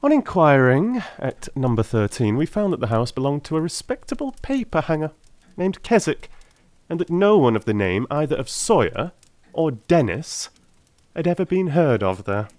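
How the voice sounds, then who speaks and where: A man playing a sharp, confident, excitable male detective in theatrical audiobook style, emphatic and commanding. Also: fairly high pitch, moderate pace, no echo, pitched above the performer's own voice. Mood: amused, animated recounting. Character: sharp, confident male detective, excitable, self-assured, vexed at being fooled. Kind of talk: theatrical dramatised audiobook acting.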